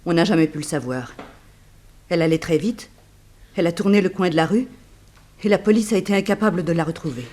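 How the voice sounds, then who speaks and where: A man, by the sound of it, acts out a trusting widow in a sixties radio play. The voice sits high, with a plaintive, earnest tone and careful dramatic phrasing. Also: fast, pauses often, darkish tone, close to an everyday voice. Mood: plaintive, resigned. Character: plaintive, trusting widow recounting her misfortune. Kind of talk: 1960s French radio drama acting.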